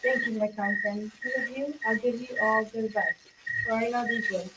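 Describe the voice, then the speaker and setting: A woman's voice: fairly high pitch, fairly even intonation, moderate pace, close to an everyday voice. Young woman playing cryptic old forest woman, noisy recording. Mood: cryptic advising. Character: mysterious poor old woman of the woods, proud and cryptic. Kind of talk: amateur school radio drama acting. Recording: distant mic, loud background noise.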